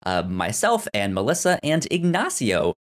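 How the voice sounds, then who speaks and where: A man co-hosting a podcast in casual TV recap chat, excitable and often stammering over repeated words. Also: fairly low pitch, moderate pace. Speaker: podcast co-host.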